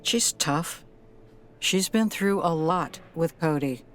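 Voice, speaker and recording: An actress playing a protective, troubled, tough-minded granddaughter in an audio drama, earnest in manner. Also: female voice, low-pitched, lively intonation, takes it slowly, fairly bright tone, faint music behind, exaggerated voice. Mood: subdued.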